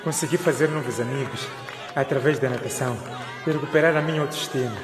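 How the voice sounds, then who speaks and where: A man's voice: medium pitch, fast, over music, close to an everyday voice. A man playing an earnest, polite teenage boy in a radio drama. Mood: proud, earnest. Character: earnest, modest teenage boy, school swimmer. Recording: echoey hall, noisy crowd behind.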